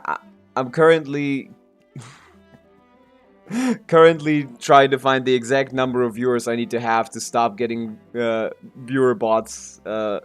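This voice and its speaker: A man's voice: medium pitch, smooth. A man streaming a game with casual, rambling, self-questioning commentary. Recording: stream with game music behind.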